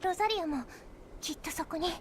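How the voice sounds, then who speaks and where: A woman voicing a determined young female knight heroine in anime-style game voice acting, earnest and brisk. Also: extremely high pitch. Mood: resolute.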